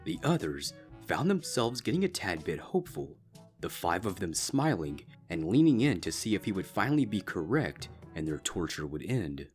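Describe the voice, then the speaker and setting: A man's voice: low-pitched, sing-song, normal speed, faint music behind. A man reading a scripted fan fiction story aloud as its narrator.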